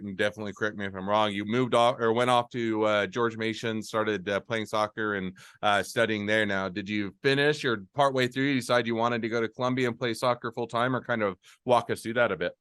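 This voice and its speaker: A man's voice: mid-range voice, steady tone, a little fast, few pauses, a bit bright, clear, resonant tone, dry recording, clean studio sound. A man hosting a podcast interview, asking long rambling questions and often restarting them. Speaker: podcast host. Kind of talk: casual interview.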